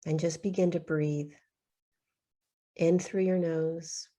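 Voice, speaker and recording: A woman guides a meditation with a mellow, soft-edged tone. She speaks very slowly and soothingly, in short phrases with long pauses, and her pitch stays nearly flat.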